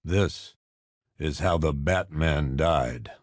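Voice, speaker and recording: Grim older lawman narrator, low and unhurried, weary gravity for a video game. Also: no room sound, studio quality, exaggerated voice. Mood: grim, brooding. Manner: grave, weary, deliberate. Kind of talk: dramatic scripted game narration.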